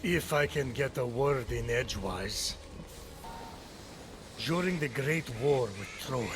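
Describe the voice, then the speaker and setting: A man's voice: medium pitch, lightly acted. A man playing an eloquent, dry-witted storyteller who narrates a myth in a video game. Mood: sardonic, then storytelling. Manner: grandiose narration, sardonic asides. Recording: music under the voice.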